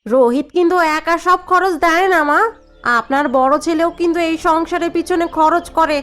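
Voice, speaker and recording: A conniving young daughter-in-law, voiced by a woman in an animated family drama. Her voice is high and confident, and she talks as if she already has a plan worked out. Faint music sits behind her. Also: normal speed. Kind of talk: cartoon voice acting.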